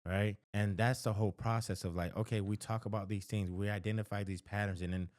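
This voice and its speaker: A low-pitched American man speaks as a counselor in a close, dry recording. He lays out advice conversationally, stressing points by repeating them and tagging them with short check-ins to the listener. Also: steady tone, fairly quick, a little mellow, no echo. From the American South. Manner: emphatic, repeats key phrases for stress; conversational, often checks in with "right?" and "okay?". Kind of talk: direct-to-camera advice talk.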